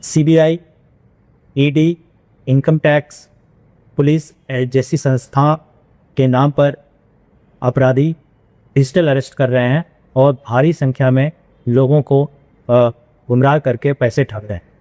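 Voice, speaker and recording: Man, senior police officer, medium pitch, dark mellow tone, formal explanatory address, deliberate phrasing. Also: steady tone. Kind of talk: formal public awareness address.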